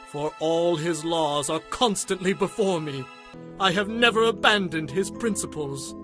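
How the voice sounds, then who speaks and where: A man's voice: high-pitched, fairly bright tone. An actor playing a devout warrior king, reciting praise to God solemnly and fervently in a dramatized scripture reading. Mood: earnest devotion. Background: music.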